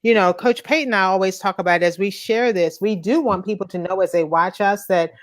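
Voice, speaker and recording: A lively woman host talks in a casual chat and laughs often. She puts on quick voices when she quotes other people. Her voice sits in the middle of the usual range. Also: medium pitch. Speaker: coach and host. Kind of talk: casual live-stream chat.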